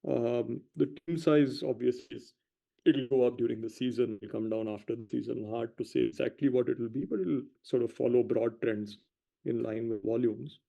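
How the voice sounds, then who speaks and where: A male company executive giving measured, explanatory answers on an earnings call, hedging and pausing between short phrases. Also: mid-range voice, fairly even intonation, vocal fry, mellow. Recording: dull lo-fi conference call audio.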